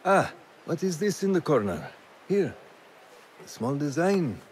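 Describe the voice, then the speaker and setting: An actor playing a kindly, patient assassin elder with a gentle manner in a video game cutscene. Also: male voice, on the high side, big pitch swings, a little hiss or hum. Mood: warm. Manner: gentle, unhurried.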